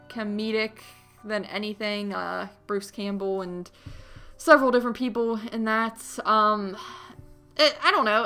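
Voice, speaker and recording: A woman's voice: medium pitch, sharp tone, clean tone. A woman co-hosting a movie podcast, chatting casually and giving understated, rambling film reviews over music.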